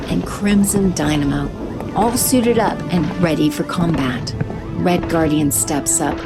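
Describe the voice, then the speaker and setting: A woman narrating fast-moving action scenes in a brisk, vivid style. Her voice sits slightly low, with a music score clearly playing underneath.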